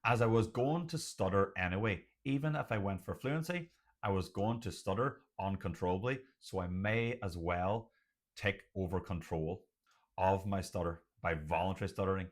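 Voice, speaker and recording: Man, middle-aged, low pitch, stutter, earnest, emphatic, short measured phrases, motivational personal talk. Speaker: doctor sharing personal experience of stuttering.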